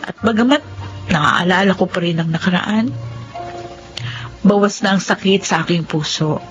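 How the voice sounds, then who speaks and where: A woman's voice: on the low side, normal speed, lo-fi sound, over music, natural voice. A woman with a Filipino accent playing an earnest, respectful daughter who was wronged, in a radio drama. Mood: reflective, hopeful.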